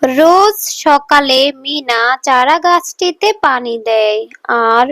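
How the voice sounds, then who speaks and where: A woman's voice: sits high, expressive, takes it slowly, fairly bright tone, no room sound. A woman giving scripted narration for a children's story.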